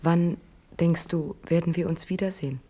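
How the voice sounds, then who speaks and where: A dignified noblewoman, acted by a woman in a classical radio play. Her voice is low and dark, and she sounds concerned for those close to her. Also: fairly even intonation, normal speed, lightly acted. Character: dignified, concerned princely wife.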